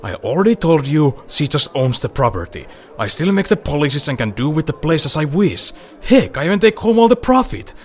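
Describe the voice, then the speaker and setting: A man's voice: on the high side, sing-song, brisk. An actor playing a long-suffering male bar owner in an audio drama, with a dry, matter-of-fact way of talking. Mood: pleading.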